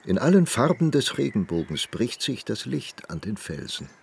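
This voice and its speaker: Reflective painter, very low and creaky, animated contours, light noise and birds behind. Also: male voice, moderate pace. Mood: musing. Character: reflective painter reminiscing about light and his work. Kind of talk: literary radio drama acting.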